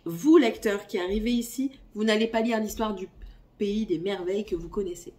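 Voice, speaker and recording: Hoarse woman with a cold, casual book-chat host at mid pitch, recorded a bit off-mic. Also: moderate pace, a little mellow, far from the mic. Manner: chatty, apologetic, coughing now and then. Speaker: book YouTuber. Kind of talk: casual reading-list chat, reading blurbs aloud. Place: home.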